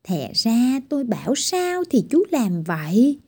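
A woman's voice: on the high side, sing-song, a little slow, dark tone, husky, exaggerated voice. A woman voicing a strict, bossy tiny girl doctor in a children's storybook reading, giving firm scolding commands. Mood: stern.

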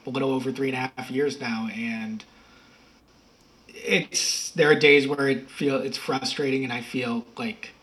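A man's voice: medium pitch, fairly even intonation, a little slow, a bit bright, poor audio. An American man, middle-aged, telling stories in a rambling, self-correcting way as an interview guest. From United States. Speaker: podcast guest. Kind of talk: casual interview talk.

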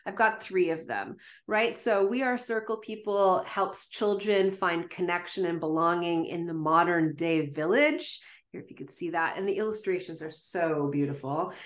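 Enthusiastic woman interview host, low voice. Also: moderate pace. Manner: enthusiastic, effusive praise. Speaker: podcast host.

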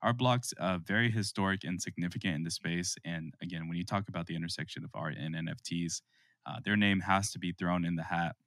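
Low-voiced man hosting a podcast. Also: a little fast, a bit bright, no echo, clean studio sound. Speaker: podcast host. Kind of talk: casual podcast interview chat.

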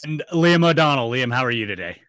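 A man hosts a casual podcast in a bright, forceful voice. His pitch sits in the middle of the male range. The sound is dry and close, free of room echo. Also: animated, a little fast. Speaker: podcast host.

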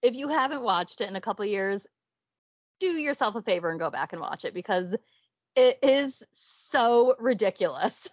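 A woman's voice: medium pitch, animated, normal speed, no echo, dull audio. A young woman co-hosting a podcast in casual chat, chatty and laughing often. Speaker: podcast co-host.

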